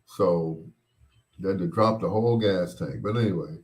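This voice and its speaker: A middle-aged man hosting a casual show, chatting and reminiscing in a rambling way, often pausing and repeating himself as he replies to listeners. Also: low-pitched, a little mellow. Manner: unhurried, rambling, with pauses and repeats. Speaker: host of a bedtime stories show. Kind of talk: casual chat, reminiscing and replying to listener comments.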